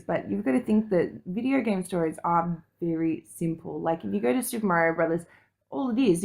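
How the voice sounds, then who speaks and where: A woman's voice: on the low side, vocal fry, darkish tone. A woman co-hosting a podcast, chatting casually in an enthusiastic, blunt and slangy way. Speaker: podcast co-host. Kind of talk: casual chat.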